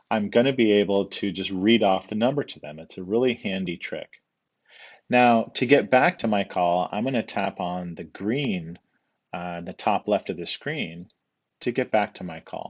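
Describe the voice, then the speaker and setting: A man giving an informal step-by-step software tutorial in a patient, explanatory, conversational way. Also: lowish voice, slightly rough, highs cut off.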